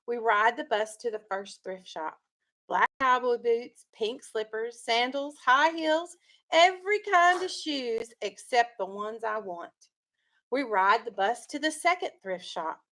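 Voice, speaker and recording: A woman's voice: fairly high pitch, measured pace, pushed and bright. A woman teacher reading a story aloud to children, in an instructive way with leading questions.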